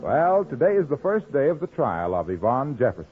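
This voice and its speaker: A man's voice: medium pitch, sing-song, fairly quick, over music. An American man delivering a 1940s radio commercial as an emphatic, salesmanlike announcer. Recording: muffled old radio recording.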